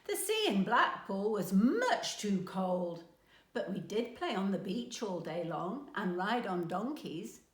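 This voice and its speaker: A woman's voice: medium pitch, big pitch swings, takes it slowly, reverberant. A woman voicing a fond but competitive English grandmother in a storybook read aloud.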